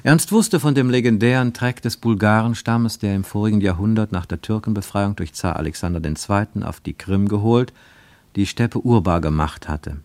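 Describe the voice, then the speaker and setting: A man's voice: fairly low pitch, normal speed, darkish tone, no echo. A man reading a literary story as a scripted narration.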